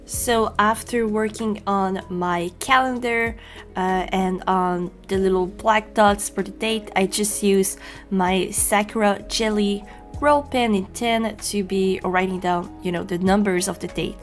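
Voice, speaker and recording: A young woman from Quebec with a French-Canadian accent, a native French speaker, chatting casually in a voice-over as she draws, often pausing with ums and you-knows. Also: mid-range voice, steady tone, faint music behind. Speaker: journaling channel host. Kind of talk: casual voice-over narration while drawing.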